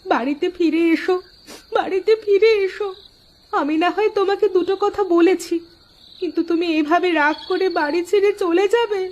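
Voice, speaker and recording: A woman's voice: very high-pitched, normal speed, a little mellow. A woman voicing a scolding, melodramatic village wife in a cartoon, exclaiming emphatically, in a dull lo-fi recording. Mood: wailing, pleading. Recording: dull lo-fi audio with faint noise.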